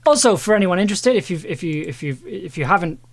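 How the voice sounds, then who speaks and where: A man's voice: high-pitched, expressive, fast talker, creaky. A man chatting casually on a livestream as an audio editor, thinking aloud while working. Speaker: audio drama editor and streamer. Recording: faint noise, keyboard clicks. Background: keyboard typing and clicks.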